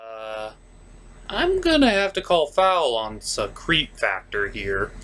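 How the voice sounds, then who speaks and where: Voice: medium pitch, sing-song, takes it slowly, a bit bright. A comedic review host who recaps and rants in a sarcastic, exasperated way with theatrical emphasis. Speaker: host of a cartoon review show.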